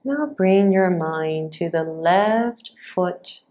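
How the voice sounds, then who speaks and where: A woman with a slightly low voice leads a guided yoga nidra meditation. She speaks extremely slowly, in short, measured phrases. Long pauses separate each instruction, keeping the delivery unhurried throughout. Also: a little mellow, muffled recording. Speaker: yoga nidra teacher guiding a practice.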